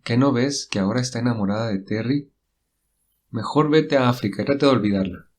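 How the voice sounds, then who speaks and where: A man's voice: medium pitch, fairly quick, a little mellow, natural voice. A man playing an adult man who sternly scolds himself over a forbidden love in an audio story. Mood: self-reproach. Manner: stern, self-reproaching. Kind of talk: acted inner voice in audio story.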